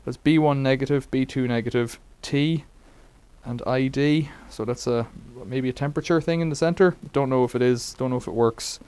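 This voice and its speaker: A man's voice: medium pitch, normal speed, darkish tone, clean tone. A middle-aged man casually demonstrating a bike repair in a cluttered workshop, rambling with wry asides.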